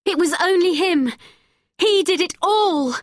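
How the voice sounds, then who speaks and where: Woman voicing a desperate teenage girl, very high, shrill, accusing, storybook reading, dry close sound. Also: expressive, a little slow, no echo. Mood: pleading. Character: frightened furious teenage girl.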